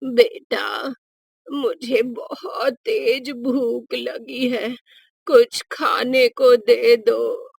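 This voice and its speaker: Woman as pleading poor old woman, drawn-out begging, very slow, highish voice, cartoon moral story. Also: steady tone, vocal fry, breathy edge, no echo, heavily acted.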